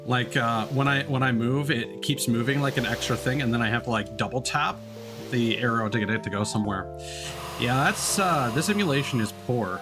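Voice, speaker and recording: A man's voice: medium pitch, brisk. A man doing casual live commentary as he streams a video game. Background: loud video game music.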